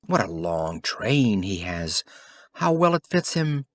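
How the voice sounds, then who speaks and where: A man voicing fawning royal courtiers who flatter with exaggerated, gushing admiration in a storybook reading. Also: on the low side, takes it slowly, no room sound. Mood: gushing, admiring.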